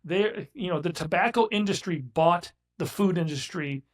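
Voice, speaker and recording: A man in his sixties talks in a blunt, emphatic way as a guest on a casual interview show. His voice sits a little high for a man, and he restarts his sentences often as he pushes his point. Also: normal speed. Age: 60s. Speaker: guest, business owner.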